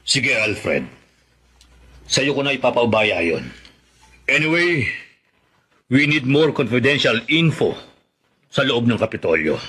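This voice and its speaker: A man acting a commanding, scheming old grandfather with a husky voice and Filipino accent in a Tagalog radio drama. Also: medium pitch, very animated, slow pace, long pauses, sharp tone, full character voice.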